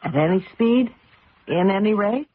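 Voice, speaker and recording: A woman's voice: medium pitch, expressive, measured pace, husky, no room sound. An actress playing a confident young wife and mother who races cars, in a radio drama with some first-person narration. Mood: fascinated. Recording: noisy, tinny old radio broadcast.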